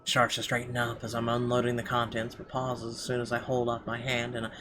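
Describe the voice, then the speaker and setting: A man's voice: medium pitch, completely flat delivery, brisk. A man reading a visual novel's story aloud as a gameplay host. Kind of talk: reading game narration aloud. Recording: clear background noise, game ambience.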